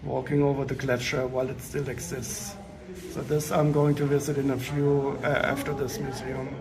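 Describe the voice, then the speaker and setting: A man with a raspy voice and a sore throat, chatting casually with viewers on a live travel stream from a lookout tower. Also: medium pitch, flat delivery, normal speed, crowd noise, poor audio. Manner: chatty, answering viewers while touring. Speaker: livestreamer. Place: outdoors on a tower lookout above a city.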